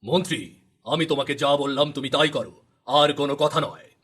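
A man voicing a grave, authoritative king and father in a storybook cartoon, with a solemn, slightly husky voice. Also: fairly high pitch, moderate pace, fairly bright tone. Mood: commanding. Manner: commanding, solemn.

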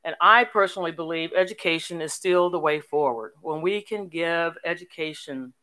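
An older woman giving a formal, inspirational commencement speech in an earnest, emphatic manner with deliberate phrasing. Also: sits low, a bit bright. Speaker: commencement speaker. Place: on stage in a large hall at a graduation ceremony. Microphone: podium microphone.

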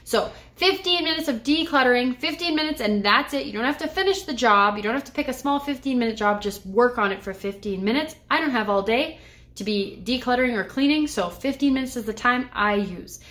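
A woman's voice: fairly high pitch, animated, fairly quick, a bit bright. A woman in her thirties, a home cleaning vlogger, talking in a chatty, upbeat, encouraging way to the camera from her bedroom. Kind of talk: casual chat to camera and voice-over.

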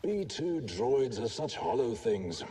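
A man's voice: medium pitch, sing-song, takes it slowly, mellow, a little hiss or hum. A man playing a contemptuous, zealous raider in a video game, speaking with condescension and grudging tolerance. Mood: disdainful. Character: haughty, contemptuous male raider, zealous Jedi hunter. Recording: faint game ambience behind.

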